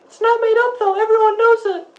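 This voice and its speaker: A woman's voice: very high voice, little pitch movement, brisk, highs cut off. A woman playing a blunt, indignant nine-year-old girl in an acted radio interview. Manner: blunt, childlike, argumentative.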